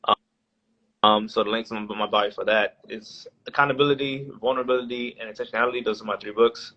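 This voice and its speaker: A man's voice: fairly low pitch, steady tone, a little fast, bright, forceful tone. A man in his late twenties, a podcast guest talking casually with lots of fillers and restarts. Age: 20s. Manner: rambling, many fillers and restarts. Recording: dull lo-fi sound.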